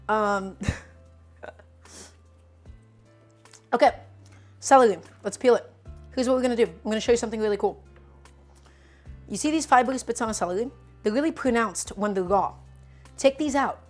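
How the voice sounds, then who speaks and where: A young woman chats her way through a cooking stream in a voice pitched a little high. She teases her viewers and walks them through each step as she chops. Soft music plays underneath. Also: animated, normal speed, long pauses. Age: 20s. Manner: playful, teasing, explains step by step while chopping. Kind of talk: casual cooking livestream, chatting with viewers.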